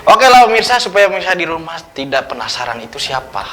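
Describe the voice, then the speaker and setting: A man's voice: a little high, big pitch swings, fast, bright, forceful tone, a little hiss or hum. A man hosting a casual studio talk show, playful and teasing, laughing often as he introduces and questions his guest.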